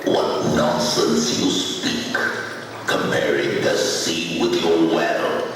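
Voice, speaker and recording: A man's voice: low voice, little pitch movement, slow pace, noisy background. A man voicing a polite, patient frog from the sea who explains things in a puppet show. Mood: patient, exasperated. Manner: courteous, explaining, mildly exasperated. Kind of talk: puppet show character acting. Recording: very echoey hall, distant, loud music. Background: loud music.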